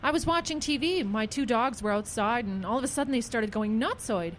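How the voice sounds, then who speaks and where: An actress playing a chatty small-town neighbour in an audio drama, telling things in a folksy, conversational way. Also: female voice, a little high, animated, fairly quick, a little hiss or hum, lightly acted.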